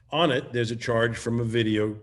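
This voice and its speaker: A man playing a sheepish, evasive, affectionate sitcom husband who stammers and hedges through a comedic script read. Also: mid-range voice. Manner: stammering, halting, hedging. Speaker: sitcom actor at a cast reunion table read.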